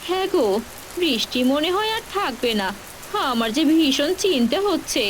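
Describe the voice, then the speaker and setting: A woman's voice: high voice, lively intonation, normal speed. A woman playing a caring, fretful poor village wife and mother with a coaxing, homely manner in a Bengali cartoon fairy tale. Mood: worried. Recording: faint rain noise behind.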